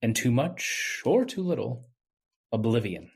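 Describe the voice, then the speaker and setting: Man playing bitter, husky-voiced young intern. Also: mid-range voice, sing-song, measured pace, full character voice. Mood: brooding. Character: wronged young male medical intern, bitter, brooding. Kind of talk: old-time radio drama acting.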